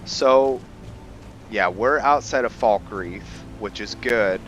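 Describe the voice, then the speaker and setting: A man with a fairly low, bright voice commentates casually on his roleplay game. He talks slowly and haltingly, musing aloud as he tells the story, with faint music behind him. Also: lo-fi sound. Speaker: host of a game roleplay series. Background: background music.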